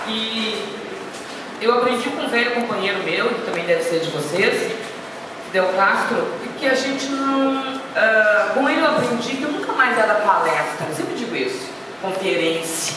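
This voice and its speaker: A woman's voice: on the high side, animated, moderate pace. Gaúcha woman lecturing in an echoey hall. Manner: chatty, anecdotal, with self-deprecating asides. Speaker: guest speaker. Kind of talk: informal lecture. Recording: echoey hall, speaker far from the mic, noisy.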